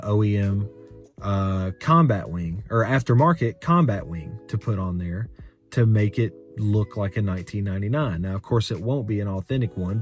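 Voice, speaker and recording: Rambling monotone young man. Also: fairly low pitch. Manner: rambling, filler-heavy, conversational. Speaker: car vlogger. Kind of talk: unscripted story time rant.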